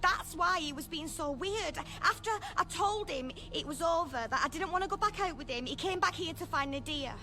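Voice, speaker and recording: A woman's voice: very high-pitched, a little fast, few pauses. A woman playing a young, frightened girl in hiding in a radio drama, confiding in a stammering, breathless way. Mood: frightened, confessing. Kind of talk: naturalistic radio drama acting.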